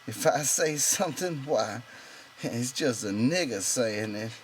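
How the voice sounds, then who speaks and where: A man's voice: mid-range voice, expressive, measured pace, a little hiss or hum, voice pushed up, close to an everyday voice. A man voicing a proud, bitter, lonely stable hand in an audiobook, talking in an insistent, rambling colloquial way. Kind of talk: character voice in an audiobook reading.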